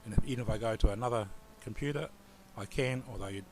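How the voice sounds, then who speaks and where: A man with a New Zealand accent giving a relaxed, rambling screen-recorded computer tutorial. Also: on the low side, fast talker, a little hiss or hum. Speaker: tutorial presenter.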